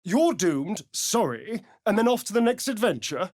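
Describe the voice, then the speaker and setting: Sly vampire rogue, very animated pitch, dry close sound, arch, sardonic, video game character. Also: male voice, moderate pace, no echo. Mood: sardonic, playful. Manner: arch, flamboyant, sardonic, theatrical delivery. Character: sly, vain, theatrical male vampire spawn rogue. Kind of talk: video game voice acting, dramatic lines and narration.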